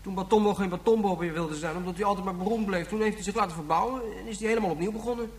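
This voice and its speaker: Quick, blunt little kid played by a woman. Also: medium pitch, a little mellow, close to an everyday voice. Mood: blunt, frank. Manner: blurting, frank. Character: blunt, naive, candid young child. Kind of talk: radio play acting. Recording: faint noise and hum.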